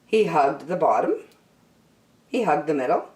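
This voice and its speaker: A woman reading a children's storybook aloud as storyteller, expressive and emphatic, drawing out key words. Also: deep voice, unhurried. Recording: echoey room.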